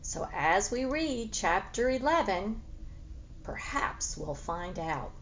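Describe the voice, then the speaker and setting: A woman narrates a children's story in the manner of a teacher reading to pupils. Her delivery is unhurried, with animated, widely varied intonation. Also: medium pitch, highs cut off, slight background noise.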